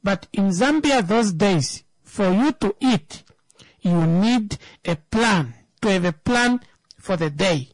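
A woman's voice: medium pitch, lively intonation, slow pace, dry recording. A woman, giving an emphatic opinion talk that turns into a personal story, repeating words for stress.

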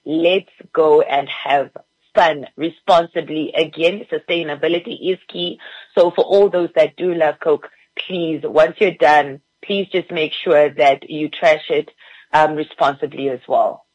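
A woman's voice: deep voice, steady tone, a little slow, darkish tone, husky, no echo, lo-fi sound. A woman from Namibia, a PR guest, talking enthusiastically on a radio interview with fillers.

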